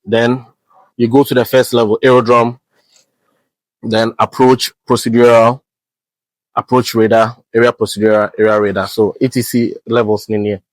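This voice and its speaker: Ghanaian man, mid-pitched, dry close mic, easygoing explainer with many fillers. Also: normal speed, dry recording, low-quality recording. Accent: Ghanaian English. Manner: relaxed, explanatory, frequent fillers and restarts. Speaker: air traffic controller guest. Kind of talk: casual podcast conversation.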